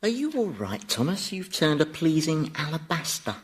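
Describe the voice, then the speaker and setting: An actor playing a sardonic, mocking Devil who chats like a gleeful tour guide in a radio sitcom. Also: male voice, a little high, very animated, normal speed. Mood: dry menace. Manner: mocking, chatty, mock-polite.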